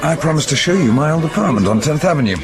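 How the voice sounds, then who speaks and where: A man's voice: on the high side, animated, racing, music in the background, close to an everyday voice. A man acting a wry, flirtatious, self-assured fellow in a radio drama. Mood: dismissive, teasing.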